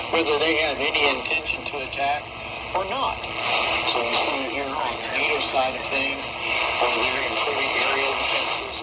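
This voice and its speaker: An American man hosting a radio news commentary show, halting with many fillers and calling his listeners folks, heard over a shortwave receiver. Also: medium pitch, very noisy. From United States. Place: radio broadcast played through a receiver.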